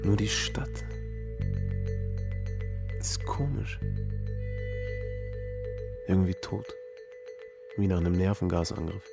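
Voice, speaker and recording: An actor playing a haunted, wry young American Vietnam veteran in a radio drama, talking colloquially and haltingly. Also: male voice, low voice, fairly even intonation, gravelly, full character voice. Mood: bleak, bitter. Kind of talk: radio drama acting, storytelling. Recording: music behind the voice. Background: music.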